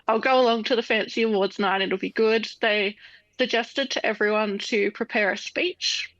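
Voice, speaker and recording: A young woman talks casually as a podcast guest and laughs often through her stories. Her voice sits at an ordinary pitch. The recording sounds dull and dry, with little room around it. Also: fairly even intonation, normal speed, a bit bright, no echo. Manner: chatty, laughs often while telling stories. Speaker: guest artist.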